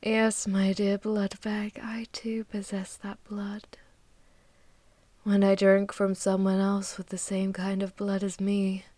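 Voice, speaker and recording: A woman playing an ancient, possessive vampire mistress in an intimate ASMR roleplay, speaking softly and teasingly with frequent drops into a whisper, close to the microphone. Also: medium pitch, no echo. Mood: possessive, seductive. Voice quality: soft, breathy, often dropping to whispers. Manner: slow, teasing, haughty, commanding. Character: ancient, possessive, ruthless yet seductive female vampire mistress. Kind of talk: intimate ASMR audio roleplay, monologue to listener.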